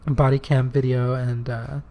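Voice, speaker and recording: A man co-hosting a political podcast, talking earnestly and plainly, his voice slightly hoarse from shouting. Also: medium pitch, level tone, measured pace. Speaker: podcast co-host. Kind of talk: casual political podcast talk.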